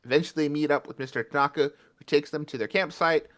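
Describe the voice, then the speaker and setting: A man's voice: on the high side, fairly even intonation, fast, a bit bright. A man co-hosting a podcast, recapping an episode in a chatty, jokey way. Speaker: podcast co-host.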